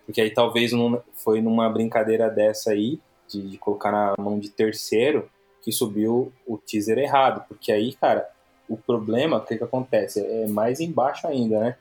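A man's voice: lowish voice, a little mellow. A man with a Brazilian Portuguese accent hosting a podcast, chatting casually and rambling, with many restarts and tag questions. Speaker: podcast host.

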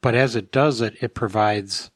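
Low-voiced man explaining, halting and dry. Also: no echo. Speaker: podcast expert host answering listener questions. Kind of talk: explanatory casual talk.

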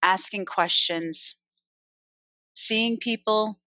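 An American woman, a guest author and coach, answering interview questions in a reflective, unhurried way with many fillers. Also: medium pitch, sharp tone, no echo, rough recording. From United States.

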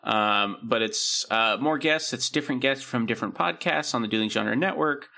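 A man's voice: medium pitch, moderate pace, gravelly, pushed and bright. A man co-hosting a podcast in casual banter, with a laid-back, loose delivery that often trails off. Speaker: podcast co-host.

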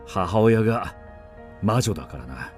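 Rough warrior, wary, coarse-tongued, swooping intonation, period radio drama, faint music bed. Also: male voice, fairly low pitch, very animated, unhurried. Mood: ominous, wary. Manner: coarse rustic male speech, blunt. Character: rough, wary, superstitious warrior of old Japan.

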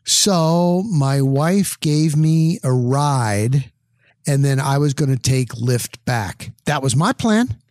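A man's voice: mid-range voice, lively intonation, slow, darkish tone, no echo, studio quality. A middle-aged American man from New Jersey hosting a podcast, telling casual anecdotes in short punchy phrases and acting out bits of dialogue. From New Jersey, United States. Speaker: podcast host.

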